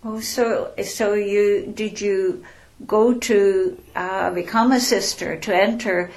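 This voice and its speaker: A woman interviewing a guest, courteous and gentle, with frequent hesitations. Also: mid-range voice, steady tone, slow pace, rough recording. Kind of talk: local interview.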